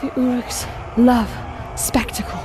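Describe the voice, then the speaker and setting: A woman's voice: on the high side, steady tone, a little slow, crowd noise, background noise, natural voice. A woman playing a determined young warrior in a game, speaking with urgency and intent. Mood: worried, determined. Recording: music behind.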